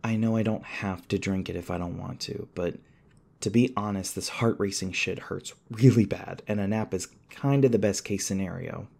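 A man playing a shaken, apologetic young human in an audio roleplay, speaking hesitantly with stammers and halting phrases. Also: low voice, vocal fry, a little mellow. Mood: grateful, apologetic. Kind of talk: ASMR audio roleplay, naturalistic acting.

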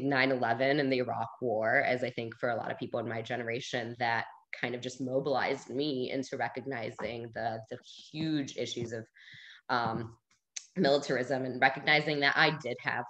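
A woman's voice: a bass voice, fairly bright tone, poor audio. A woman in her thirties from Bulgaria, guest speaker on a video call, talking earnestly with lots of fillers. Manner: earnest, conversational, many fillers. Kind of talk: casual talk on a video call. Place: room at home, indoors. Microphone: video call webcam.

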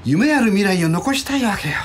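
A man playing a playful, weary middle-aged villain actor in a radio drama, swinging theatrically between low murmurs and high whines. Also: very animated, fairly quick, breathy edge, full character voice. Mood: dejected, whiny.

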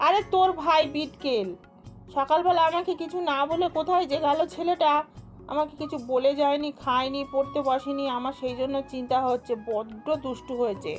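A woman plays a very high-voiced farm wife and mother from a cartoon village. She is homely, fussy and quick to scold. Music plays under her voice. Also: fairly even intonation, natural voice. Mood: worried, scolding. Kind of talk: amateur cartoon dubbing.

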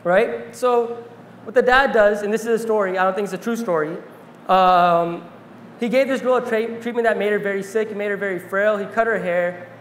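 A young man giving an earnest talk on stage in a large hall, telling a story from his notes and pausing with fillers, then interviewing a guest. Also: high voice, steady tone, a little hiss or hum. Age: young adult. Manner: earnest, reflective, pauses with fillers. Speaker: speaker and interviewer. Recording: roomy hall reverb, faint ambience.

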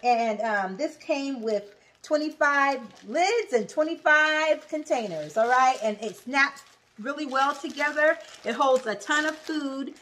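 A woman's voice: sits high, unhurried, fairly bright tone, slight background noise. A woman hosting a cooking vlog from her home kitchen, chatting upbeat with viewers and checking in with "okay?" and "you guys". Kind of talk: casual how-to chat to viewers.

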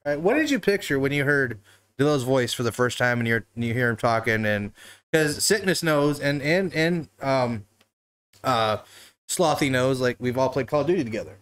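A man hosting a casual livestream from his living room couch, talking into a desk mic on a stand. He jokes loosely, laughs often and swears freely. His voice sits at an ordinary middle pitch for a man. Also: expressive, moderate pace, fairly bright tone, clean tone. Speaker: talk show host.

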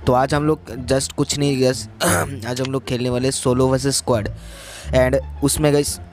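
A young man with a mid-pitched voice and a sore throat, chatting his way through gameplay. Loud game music plays under his voice. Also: level tone, measured pace. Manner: chatty, repeats "guys" a lot. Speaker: gaming channel host. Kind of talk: gameplay commentary.

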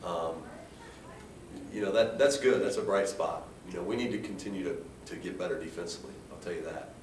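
An American man speaking as a coach at a post-game press conference, in a halting, measured way with frequent restarts. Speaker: head basketball coach. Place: indoor press room at a table. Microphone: distant camera. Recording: roomy, distant mic with faint rumbling noise.

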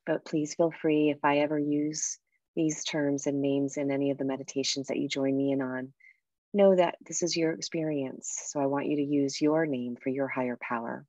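A woman guiding a meditation and breathing exercise in a soft, unhurried, soothing way with pauses. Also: rumbling low, steady tone, dry recording, highs cut off. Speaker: meditation guide and coach. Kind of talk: guided meditation with breathing instruction.

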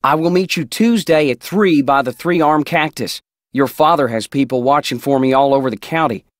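An actor playing an earnest, tender Old West outlaw in a video game, reading his letters aloud in a folksy, deliberate way. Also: medium pitch, no echo, clean studio sound, natural voice. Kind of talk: acted reading of love letters.

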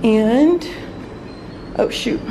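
A woman's voice: on the high side, animated, extremely slow, background noise. A young woman giving an enthusiastic, chatty tour of a holiday villa, filming herself on a phone held at arm's length and filling her talk with "like.". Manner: enthusiastic, chatty, frequent "like" fillers. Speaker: travel vlogger. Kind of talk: casual house tour vlog. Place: holiday villa, indoors and on open terraces.

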